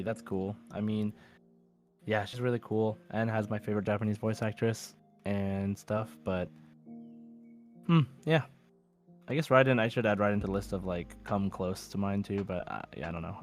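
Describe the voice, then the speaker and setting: A man's voice: lowish voice, moderate pace. Man co-hosting a podcast, music behind. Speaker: podcast co-host. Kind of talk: casual podcast chat, reading out listener messages.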